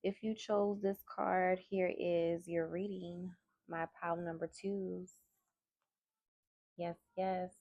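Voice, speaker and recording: A soft-spoken woman doing a tarot card reading, talking in a slow, musing way. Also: fairly low pitch, level tone, dry recording, dull audio. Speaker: tarot reader. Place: indoors at a table.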